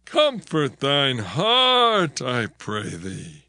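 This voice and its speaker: A man acting an older father-in-law, genial, pleading, drawn-out, very lively pitch, close dry sound, dramatized scripture. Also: no room sound, full character voice. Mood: coaxing, hospitable. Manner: slow, drawn-out, pleading delivery.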